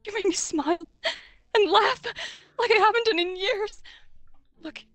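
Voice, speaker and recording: A woman's voice: very high-pitched, a little slow, no echo, pitched above the performer's own voice. A woman playing an anguished, self-blaming young woman in a dramatic fan scene, earnest and pleading. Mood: tender, tearful.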